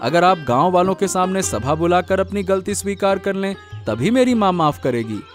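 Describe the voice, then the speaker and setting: A man voicing an eager, kind young village son in a cartoon, excitable and prone to stammering. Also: high-pitched, normal speed, soft background music. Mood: earnest. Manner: excitable, stammers when flustered. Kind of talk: Hindi cartoon dubbing.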